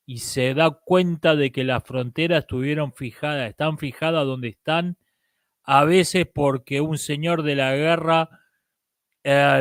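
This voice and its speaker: A man's voice: fairly high pitch, dry recording. Opinionated Argentine man, dry close sound. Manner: emphatic, repeats himself, halting restarts. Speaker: livestream host answering viewer questions. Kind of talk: casual opinionated talk.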